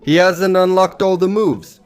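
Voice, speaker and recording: A hoarse man hosting a comedy show and reading viewer comments with quick, jokey asides. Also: sits high, faint music behind.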